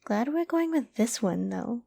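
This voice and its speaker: A woman's voice: fairly high pitch, lively intonation, a little slow, warm tone, full character voice. A woman playing an obsessive, lovesick young survivor in an intimate roleplay drama, sweetly controlling, affectionate and possessive. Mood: dreamy, possessive. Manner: affectionate, giddy, possessive, with breathy sighs and giggles.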